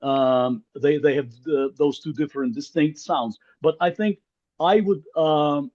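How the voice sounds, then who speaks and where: Ukrainian-native man on a video call, kinda high voice, muffled lo-fi audio, stumbles and restarts a lot. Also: unhurried. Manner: hesitant, many restarts and repeated words. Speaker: workshop participant.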